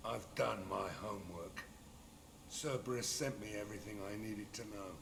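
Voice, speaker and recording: An actor playing a hard-bitten mercenary bounty hunter in a video game, gruff, blunt and unbothered. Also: male voice, lowish voice, normal speed. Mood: gruff, businesslike. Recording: echoey space with noisy ambience.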